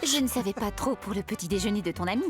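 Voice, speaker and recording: A woman's voice: mid-range voice, fast talker, over music, close to an everyday voice. A woman voicing a polite, caring mother in a French cartoon.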